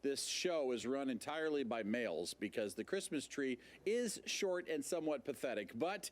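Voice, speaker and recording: A man hosting a live TV music show, chatting casually and pitching a membership drive with jokes. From Nebraska. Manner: lively, jokey, quick to laugh. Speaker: TV show host.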